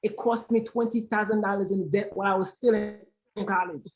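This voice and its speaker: A woman's voice: medium pitch, fairly even intonation, brisk, poor audio. A woman from Haiti speaking as a panel guest, impassioned and emphatic, repeating phrases for stress. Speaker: aid organization founder, panel guest.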